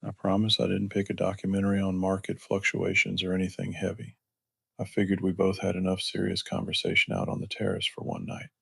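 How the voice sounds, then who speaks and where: A man acting a chivalrous romance-drama hero with a very gravelly, husky low voice. He speaks evenly and earnestly, reassuring and measured, in a protective way. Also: completely flat delivery, fairly bright tone, no echo, exaggerated voice. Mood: easygoing. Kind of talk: romance audio drama dialogue.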